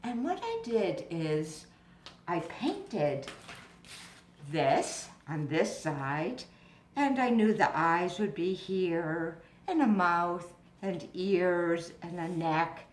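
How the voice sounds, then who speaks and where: A woman with a slightly low voice gives an informal, explanatory craft demonstration. She takes her time, speaking in a measured way as a presenter to parents. The recording is roomy and echoey, with clear background noise.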